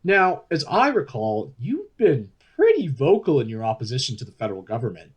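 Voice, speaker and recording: A middle-pitched voice with widely varying intonation. An actor plays a chatty, opinionated political interviewer in a radio play, steering the talk with leading, insinuating questions. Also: wildly swooping pitch, brisk, husky. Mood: pointed, lively. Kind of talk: conversational radio play acting.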